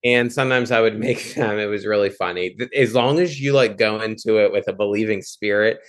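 A man who teaches yoga, chatting in a relaxed, rambling way on a podcast. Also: lowish voice, brisk. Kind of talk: casual podcast chat.

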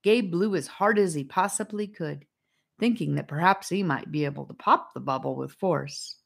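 Female audiobook narrator, low register, scripted storytelling for a children's fantasy. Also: normal speed, creaky.

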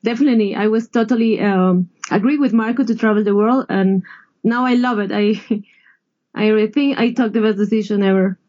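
A woman's voice: mid-range voice, moderate pace, darkish tone. Woman on a dull line. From Ecuador. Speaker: interview guest. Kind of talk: casual conversational interview.

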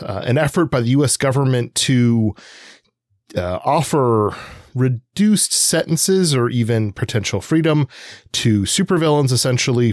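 A man co-hosting a podcast, explaining things conversationally and haltingly, piecing his sentences together as he goes. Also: lowish voice, darkish tone, no echo, clean studio sound. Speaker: podcast co-host.

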